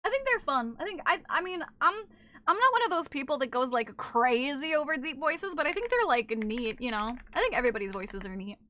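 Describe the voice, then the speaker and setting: A woman streamer chatting casually with her viewers in an animated, teasing way. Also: sits high, a little fast, no echo. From not from Japan. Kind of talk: casual live-stream chat.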